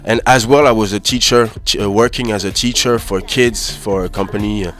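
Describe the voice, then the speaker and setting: A man born in Paris and raised in Cameroon, a reggae musician answering interview questions in a soft, halting way with many fillers. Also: lowish voice, fairly even intonation, faint music behind. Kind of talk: relaxed radio interview answers. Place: radio studio. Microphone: studio microphone.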